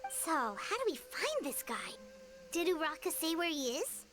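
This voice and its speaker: A woman voicing a small, eager, childlike fairy companion in an anime game, with a squeaky voice and an exclaiming delivery. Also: very animated, moderate pace. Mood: curious, excited. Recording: game music playing behind.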